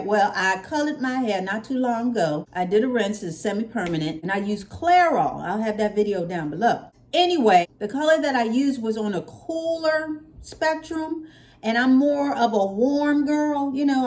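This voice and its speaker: Woman, American accent, higher pitch, casual vlog chat, playful, expressive, drawn-out emphasis. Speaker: hair care vlogger.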